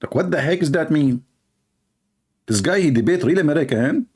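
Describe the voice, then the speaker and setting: A man's voice: fairly high pitch, animated, moderate pace, a little mellow, breathy edge. A man hosting a call-in debate show, talking in a sarcastic, rambling way. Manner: sarcastic, rambling, laughs at own jokes. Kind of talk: mocking call-in commentary.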